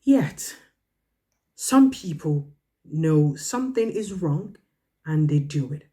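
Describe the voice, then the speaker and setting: A woman's voice: sits low, lively intonation, slow, warm tone. A woman storyteller speaking to children, drawing moral and religious lessons in an earnest, emphatic, instructive manner.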